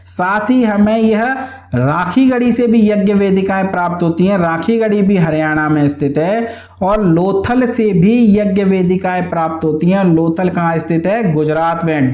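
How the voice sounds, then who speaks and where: A man's voice: high voice, darkish tone. A man teaching an exam lesson in a didactic way, posing questions and answering them himself, repeating key points. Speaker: history teacher for exam students. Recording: echoey, roomy sound.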